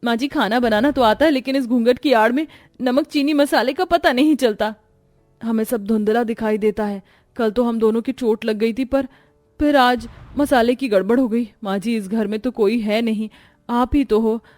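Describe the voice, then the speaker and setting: A woman's voice: fairly high pitch, moderate pace, a little mellow, no echo, studio quality, close to an everyday voice. A woman voicing a timid young veiled daughter-in-law in a moral-story cartoon, deferential and beseeching. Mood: pleading.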